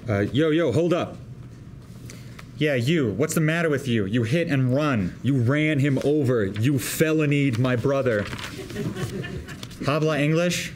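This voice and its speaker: A belligerent young skateboarder, played by a man at a table read, full of slacker slang and cocky challenge. The pitch is middling, and some background noise sits under the voice. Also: a little slow, long pauses, natural voice. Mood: threatening. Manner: slangy, brash slacker delivery. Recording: noisy table read room.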